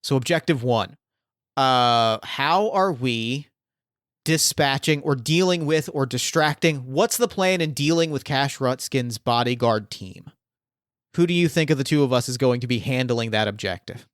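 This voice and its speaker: A man's voice: mid-range voice, normal speed, a bit bright, dry recording, clean studio sound. A man narrating a tabletop game as game master, rambling and thinking aloud with plenty of fillers.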